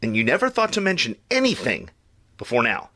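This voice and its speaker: Animated, forceful aging superhero. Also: male voice, medium pitch, fairly quick, slight background noise. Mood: sarcastic, weary. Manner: sardonic, incredulous. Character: exasperated, sarcastic aging male superhero facing decline. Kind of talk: audio drama acting.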